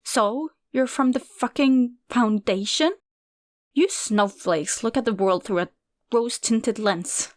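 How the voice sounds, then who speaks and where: A woman's voice: a little high, lively intonation, normal speed, no room sound, clean studio sound, lightly acted. Woman voicing a foul-mouthed aunt in her fifties. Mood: angry scornful. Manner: barking, cursing, scornful.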